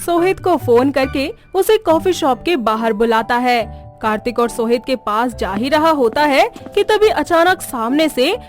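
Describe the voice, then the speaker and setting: A woman reading scripted narration for an animated moral story. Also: high voice, unhurried, faint music behind.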